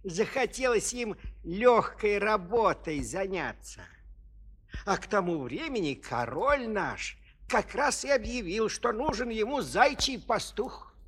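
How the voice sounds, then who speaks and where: A high, swooping old village father tells his story in a children's audio play. He frets and laments in a folksy way, repeating himself as he goes, with a husky voice that takes its time. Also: lively intonation, takes it slowly, a bit bright, full character voice. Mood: folksy, rueful. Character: elderly fretful peasant father of three sons. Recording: 1970s studio audio play.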